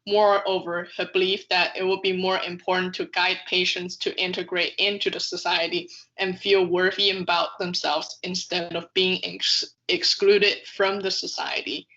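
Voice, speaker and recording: Bright-voiced woman reading narration, dull recording. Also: lowish voice, little pitch movement, moderate pace, hardly any pauses. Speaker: video essay narrator. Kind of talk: scripted narration, read aloud.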